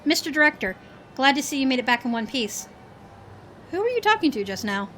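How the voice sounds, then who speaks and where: A woman's voice: sits high, sing-song, fairly quick, fairly bright tone, a little hiss or hum. A woman playing a wry, unflappable time traveller with a playful, knowing manner, in a comic audio drama. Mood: businesslike.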